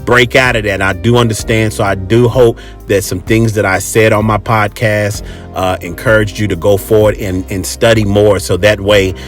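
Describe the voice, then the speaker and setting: A man's voice: fairly low pitch, flat delivery, moderate pace, soft background music. An American man hosting a podcast in a casual, chatty monologue, talking colloquially and emphatically and repeating phrases for effect. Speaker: podcast host.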